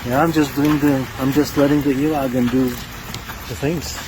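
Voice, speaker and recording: A man with a heavy Czech accent filming himself outdoors on a camera mic, talking through a product test in a casual vlog, with a halting delivery full of fillers and restarts. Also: medium pitch, fairly even intonation. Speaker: product tester. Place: outdoors on trails and beach. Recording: outdoor noise.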